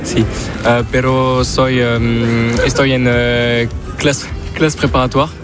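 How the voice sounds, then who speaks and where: A young French man speaking Spanish as a second language, halting, with many fillers and restarts, in a street interview. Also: mid-range voice, little pitch movement, over music.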